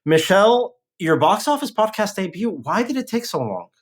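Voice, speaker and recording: A man from Mexico hosting a podcast, brisk and upbeat as he moves between chat and an interview. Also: high-pitched, big pitch swings. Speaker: podcast host.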